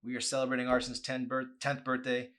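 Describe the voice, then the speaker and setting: A man reading a novel aloud as expressive narration, with an animated, emphatic delivery. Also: medium pitch, brisk. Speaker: author reading own novel.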